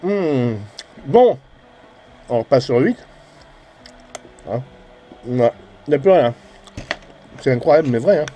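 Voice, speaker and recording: A man's voice: mid-range voice, lively intonation, slow pace, pauses often, dark tone, lo-fi sound, a little hiss or hum. A middle-aged man from France chatting casually and colloquially as a hobby CB radio operator. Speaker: amateur CB radio operator and vlogger. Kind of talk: casual chat over CB radio.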